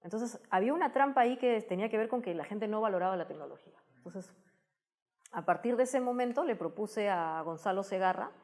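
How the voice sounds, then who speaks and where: A woman's voice: medium pitch, animated, fairly quick, a little mellow. Woman with a Peruvian accent. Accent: Peruvian Spanish. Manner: thoughtful, frequent restarts and tag questions. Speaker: guest, tech communicator. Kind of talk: interview answers, explanatory.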